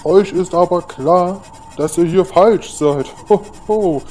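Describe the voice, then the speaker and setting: Man playing a slow-talking jolly Santa Claus. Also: high voice, darkish tone. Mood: puzzled. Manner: drawn-out talk peppered with hearty ho-ho-hos. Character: jolly male Santa Claus who chuckles ho-ho. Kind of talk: comic Christmas audio drama. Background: music.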